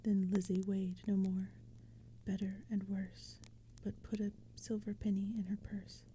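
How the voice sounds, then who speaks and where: A woman reading poetry as ASMR narration in a soft, breathy, hushed whisper, gentle and measured. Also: mid-range voice, monotone. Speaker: narrator and reader. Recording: background crackling fire and forest ambience. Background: crackling fire and forest sounds.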